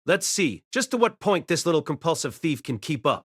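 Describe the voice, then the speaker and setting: Audiobook fantasy villain: man acting a regal, contemptuous immortal archer, higher-pitched, imperious, with animated swoops and dry close sound. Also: normal speed, breathy edge, no room sound, heavily acted. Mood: cold contempt. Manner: imperious, scornful, grandiose. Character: haughty golden heroic spirit archer, regal, contemptuous, murderously proud.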